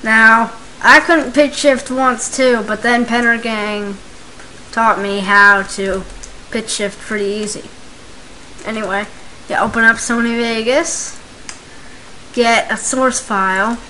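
A speaker giving a casual, unscripted step-by-step software tutorial, recorded at home. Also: medium pitch, steady tone, slow pace, low-quality recording. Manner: informal, unscripted step-by-step narration. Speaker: tutorial maker. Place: indoors, home computer screen recording. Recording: hissy, clicky home microphone recording.

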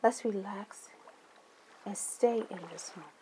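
Slow, mellow woman guiding meditation. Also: mid-range voice, slight background noise. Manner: gentle, deliberate phrases with long pauses. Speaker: meditation guide. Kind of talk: guided meditation, slow soothing affirmations.

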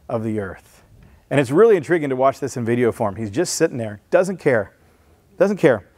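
Pastor preaching in an earnest, conversational way. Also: male voice, mid-range voice, darkish tone. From San Diego, USA. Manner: earnest, conversational preaching with restarts and rising emphasis. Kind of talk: sermon. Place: church stage with blue lighting. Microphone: headset mic.

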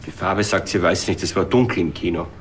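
An actor playing a patient, gentle husband in a TV crime comedy, speaking plainly. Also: male voice, low voice, fairly even intonation, brisk, slight background noise, close to an everyday voice. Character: patient husband interpreting for his wife.

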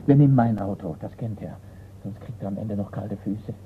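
An actor playing a resigned art forger on the run in a radio crime drama, terse and subdued. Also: male voice, fairly low pitch, normal speed, close to an everyday voice. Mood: furtive, urgent. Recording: tinny band-limited radio recording with hiss.

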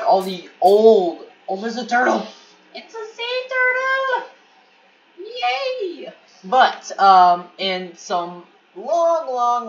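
An excitable man chats through a game as he plays, rambling and tripping over his own words. He sits back from the microphone, so he sounds a little far off. A steady noise hangs behind his voice. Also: fairly high pitch, highs cut off. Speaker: gameplay commentator. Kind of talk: casual gaming commentary. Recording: distant mic with noisy background.

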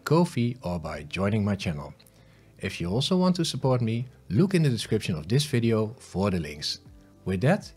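A man in his fifties narrating a step-by-step software tutorial in short instructional phrases, recorded on a close studio mic. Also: on the low side, breathy edge. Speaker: host of smart home tutorial videos. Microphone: close studio mic on a boom arm.

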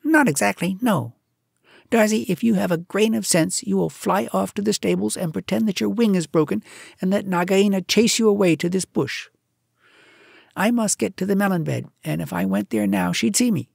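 A woman voices a fierce little male mongoose, brisk and impatient, with a lifted, slightly husky voice. The scolding tone is sharp, and the room is dry. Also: mid-range voice, no echo, voice pushed up.

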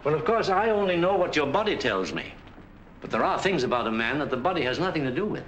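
A wise elderly doctor in a 1940s drama. He advises in a measured, emphatic way, his pitch moving lively up and down. Faint hiss runs under the old soundtrack. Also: dull audio. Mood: advising. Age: older. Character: elderly wise clinic doctor, kindly, lecturing.

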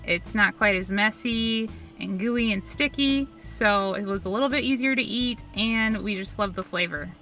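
A woman's voice: medium pitch, normal speed, hardly any pauses, sharp tone, soft background music. A young woman from Minnesota, co-hosting a food vlog from a parked car, upbeat and giggly as she chats. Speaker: food vlogger co-host. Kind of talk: casual food review chat. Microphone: camera on dashboard.

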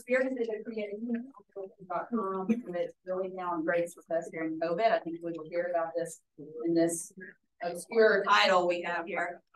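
A woman introducing a guest speaker in a lecture hall, pausing often with ums. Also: mid-range voice, fairly bright tone, distant mic, slight background noise. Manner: hesitant, many ums. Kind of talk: formal introduction.

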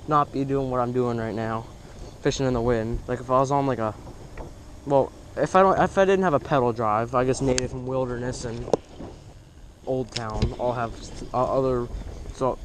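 A teenage boy talking casually while fishing from a kayak, rambling with lots of fillers, recorded on a head-mounted camera outdoors. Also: medium pitch. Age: teens. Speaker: fishing vlogger. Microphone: head-mounted action camera. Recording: outdoor noise on an action cam.

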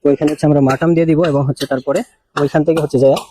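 Fast-talking man, flat delivery. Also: on the high side, a little hiss or hum. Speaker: engineer presenting a construction video. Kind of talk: informal on-site explainer to camera. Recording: music behind the voice. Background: background music.

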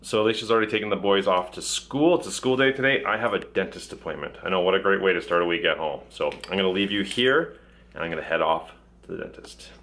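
A man's voice: sits low, fast, fairly bright tone. A man in his thirties vlogging about his family day in a casual, upbeat, chatty way, filming himself at arm's length in a van and at home. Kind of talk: casual vlog chat. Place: inside a family van, then a living room. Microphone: camera held at arm's length.

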